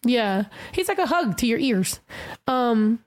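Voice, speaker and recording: A woman whose first language is Spanish, with a slight lisp, chatting casually and swearing freely as podcast co-host. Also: fairly high pitch. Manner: animated, profane, quick to laugh. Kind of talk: casual chat.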